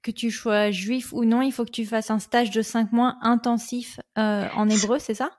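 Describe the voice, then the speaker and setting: A woman's voice: on the high side, steady tone, normal speed, darkish tone, slight background noise. A woman hosting a podcast interview, asking friendly, prompting questions. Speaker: podcast host.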